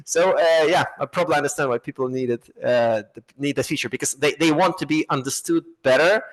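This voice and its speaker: A man speaking English, a talkative podcast guest in relaxed conversation. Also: a little high, fairly quick, rough recording. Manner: self-described chatterbox, talkative and loose. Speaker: guest, app co-founder.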